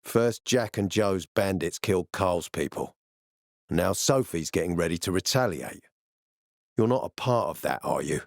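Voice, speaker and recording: An actor playing a tough, suspicious peacekeeper commander in a video game, terse, sardonic and threatening. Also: male voice, sits low, no echo, clean studio sound. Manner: terse, menacing, sardonic, profane. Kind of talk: video game character dialogue.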